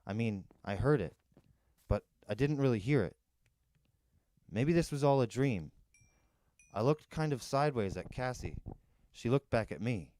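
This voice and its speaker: A man's voice: mid-range voice, expressive, unhurried, long pauses, darkish tone. A man narrating a first-person adventure novel in a dramatic storytelling style. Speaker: storyteller reading an audiobook.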